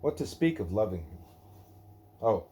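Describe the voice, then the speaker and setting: A man reading a devotional dialogue aloud in measured, deliberate phrases. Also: fairly low pitch, sing-song, warm tone. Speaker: reader of a devotional book; parent reading aloud at a child's bedtime.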